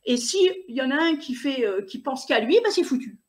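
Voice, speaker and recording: A woman's voice: high-pitched, expressive, brisk. A woman speaking insistently as an interview guest, restarting her sentences often. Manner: repetitive restarts, indignant, insistent. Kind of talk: emphatic conversational interview.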